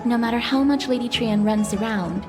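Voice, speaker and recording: An actress playing a reserved, polite and gentle young noblewoman in a video game, speaking formally and softly. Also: a little high, normal speed, close to an everyday voice. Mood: tender, thoughtful. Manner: formal, courteous, soft-spoken. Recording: music behind the voice.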